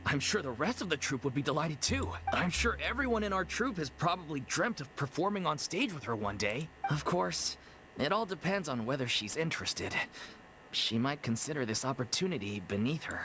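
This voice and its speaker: A man's voice: fairly high pitch, sing-song, moderate pace, fairly bright tone. A man playing an earnest, downhearted young amateur theater troupe member in an anime video game, polite and hesitant. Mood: hesitant, hopeful. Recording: music behind the voice.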